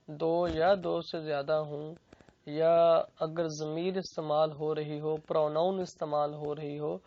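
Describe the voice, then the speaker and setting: Gravelly, unhurried grammar teacher with Pakistani accent. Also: mid-range voice. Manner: methodical, repeats and translates each phrase. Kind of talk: explanatory grammar lesson, bilingual with translated examples. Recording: dull, lo-fi recording.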